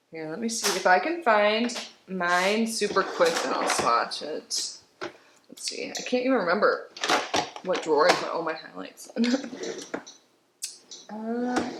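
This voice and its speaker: A woman chatting casually through a beauty box unboxing, sounding stuffy and congested, rambling along with small laughs. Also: mid-range voice. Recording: roomy with faint background noise.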